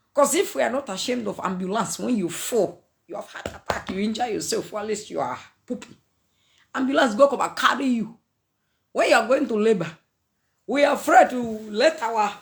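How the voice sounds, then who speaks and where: A Zambian woman in her thirties speaks straight into a phone camera from a small indoor room. She talks in an animated, preachy way, breaking into laughter and slipping in pidgin phrases as she goes. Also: fairly high pitch, gravelly. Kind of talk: casual preachy monologue to camera. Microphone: phone camera at arm's length.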